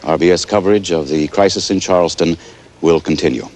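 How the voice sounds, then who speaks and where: An actor playing a composed, formal male network anchor in a 1980s TV news drama, measured and firm. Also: low-pitched, lightly acted. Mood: grave, firm. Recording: faintly noisy 1980s television broadcast.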